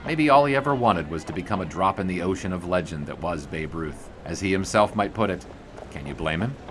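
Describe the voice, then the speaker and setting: A man's voice: low voice, animated, a little fast. A man playing a reflective 1920s sports writer, narrating his story in a wry, musing way for an audio drama.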